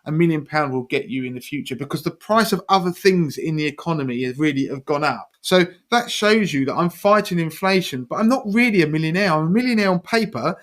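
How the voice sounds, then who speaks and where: A man's voice: on the high side, animated, fairly quick, hardly any pauses, fairly bright tone. A British man in his forties hosting a podcast, patiently explaining personal finance to a teenage guest with worked examples. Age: 40s. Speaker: podcast host.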